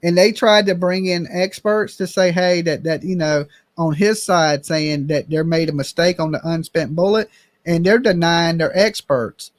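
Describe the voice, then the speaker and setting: A man's voice: fairly high pitch, moderate pace, no echo. A man hosting a casual chat, blunt and opinionated, swearing now and then.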